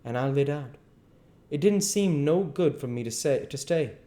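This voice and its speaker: A man's voice: fairly high pitch, expressive, moderate pace, warm tone, pitched above the performer's own voice, close to an everyday voice. A man voicing an earnest, naive runaway country boy with folksy rural speech in a storybook reading. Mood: anxious explaining. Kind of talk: dramatised audiobook reading.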